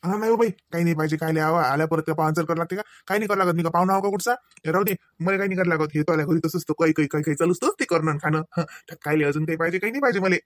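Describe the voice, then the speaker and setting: A man's voice: on the high side, rapid-fire, hardly any pauses, dry recording, studio quality, lightly acted. A man playing a teasing young student uncle visiting his sister in a Marathi comedy cartoon, speaking familiarly with a rural Vidarbha accent. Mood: easygoing.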